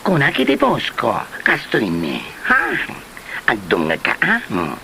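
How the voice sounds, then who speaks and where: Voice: medium pitch, huge pitch swings, measured pace, exaggerated voice. An actor playing a touchy, boastful, flamboyant gossip with a grudge in a comedy drama, exclaiming and sighing in an Ilocano accent. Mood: spiteful. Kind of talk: colloquial comedy drama acting. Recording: clear background noise.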